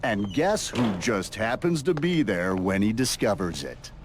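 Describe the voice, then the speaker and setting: An actor playing a narrator of a reality show in a video game, delivering scripted, dramatic story links. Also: male voice, on the low side, big pitch swings, measured pace. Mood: teasing drama.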